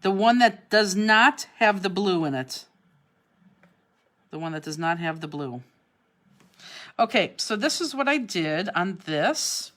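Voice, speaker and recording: Woman, cleveland accent, chatty craft class host, mid pitch, works while she talks. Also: expressive, slow pace, pauses often, a bit bright. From Cleveland, Ohio. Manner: chatty, answers viewer comments while working. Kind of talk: live online craft demonstration. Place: craft studio, indoors.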